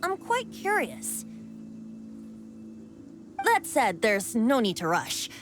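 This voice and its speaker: Casting: young male bard for a fantasy game, highish voice, theatrical, whimsical, gently wistful. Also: wildly swooping pitch, takes it slowly, music in the background, exaggerated voice. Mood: musing. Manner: theatrical, lilting, whimsically poetic. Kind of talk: video game cutscene acting.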